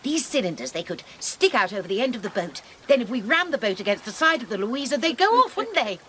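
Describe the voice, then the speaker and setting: A prim, determined missionary spinster presses her plans in proper tones. Her high voice rises and falls with lively animation. There is a faint hiss of outdoor ambience behind her. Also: fast talker. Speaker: actor. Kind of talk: radio drama acting.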